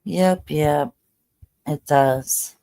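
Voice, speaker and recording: Slow-talking laid-back woman host. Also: low-pitched, vocal fry, mellow. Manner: laid-back, chatty, lots of fillers. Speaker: livestream host. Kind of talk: casual chat with viewers.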